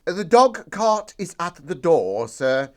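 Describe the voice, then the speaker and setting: Man acting a very slow, deferential butler. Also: sits high, sing-song, heavily acted. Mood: dutiful, hesitant. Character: deferential male butler announcing the carriage. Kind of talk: audio drama acting of a classic comedy.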